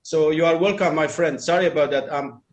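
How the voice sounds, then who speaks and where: A man with a fairly high voice speaks English as a second language. He talks casually in a group chat, offering advice in a rambling, emphatic way and often repeating phrases. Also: steady tone, fairly quick. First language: not English.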